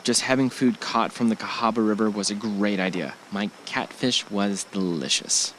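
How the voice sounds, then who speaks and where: A man's voice: on the low side, moderate pace, a bit bright, slight background noise, close to an everyday voice, poor audio. A man acting an affectionate, reassuring young husband in an amateur audio drama, speaking in a casual, slightly hesitant way. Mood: relaxed. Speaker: actor in an amateur radio drama. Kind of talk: naturalistic audio drama dialogue.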